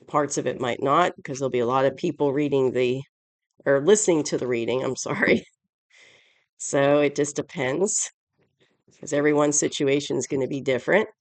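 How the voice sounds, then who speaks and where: Tarot host, stuffy allergy voice and sore throat, gravelly, dry close sound, casual and rambling. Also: mid-range voice, dry recording. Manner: rambling, repeats and corrects phrases. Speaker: tarot reader, channel host. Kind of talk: casual weekly tarot reading to camera.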